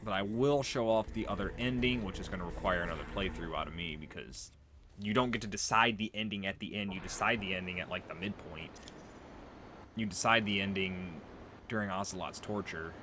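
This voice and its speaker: A man's voice: low-pitched, brisk, background noise. A man giving casual, offhand and sweary commentary as he plays through a video game. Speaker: let's player. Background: loud video game music.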